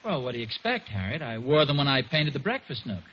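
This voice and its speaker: A man's voice: medium pitch, big pitch swings, fast talker, pushed and bright. An American man playing a genial, slightly boastful suburban father in a 1940s radio sitcom. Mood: genial, casual. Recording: muffled, noisy 1940s radio broadcast.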